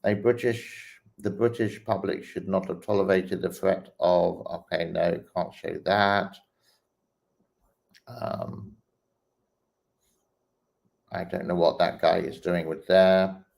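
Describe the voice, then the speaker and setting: Low slow guy scrolling feeds and reacting, lots of ums. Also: steady tone, vocal fry, darkish tone. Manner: slow, hesitant, many ums and restarts. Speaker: host reacting to online clips. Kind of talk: casual commentary while scrolling a feed.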